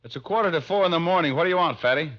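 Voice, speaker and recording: An actor playing a wisecracking, easygoing ex-cop private detective in an old radio drama, with a wry, offhand delivery. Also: male voice, a little high, rapid-fire, close to an everyday voice. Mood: brisk, curt. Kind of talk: 1940s radio detective acting, narration and dialogue. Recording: dull old radio recording, music behind.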